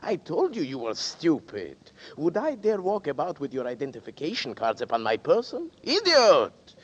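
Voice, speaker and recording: A man's voice: on the high side, wildly swooping pitch, moderate pace, slight background noise. An actor playing a smug, mock-polite male blackmailer in a 1940s radio drama, taunting and theatrical. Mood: scornful. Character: sly, mocking male blackmailer, scornful and smug. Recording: dull 1940s radio broadcast.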